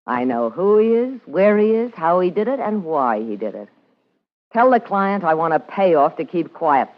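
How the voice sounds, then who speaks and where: Brassy, low-fi radio noir dame. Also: female voice, sing-song, moderate pace. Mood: tough, defiant. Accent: American. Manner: tough, sardonic, slangy. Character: brassy, hard-boiled, wisecracking nightclub party girl, a blackmailer. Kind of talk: 1950s radio noir acting, narration and dialogue. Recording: muffled vintage radio recording with music.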